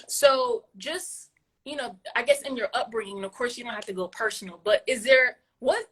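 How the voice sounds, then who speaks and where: A woman hosting a casual interview, her voice sitting fairly high. She encourages her guest warmly and often repeats a word as she works out her next thought. She sounds like she is on a video call. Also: normal speed, husky. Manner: encouraging, repeats words while thinking. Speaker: podcast host.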